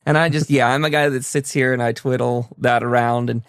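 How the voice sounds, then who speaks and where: A man's voice: mid-range voice, fairly even intonation, fairly quick, clean tone, no room sound, clean studio sound. A man hosting a casual interview show, speaking in an enthusiastic, gushing manner. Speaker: talk show host. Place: basement, at a table.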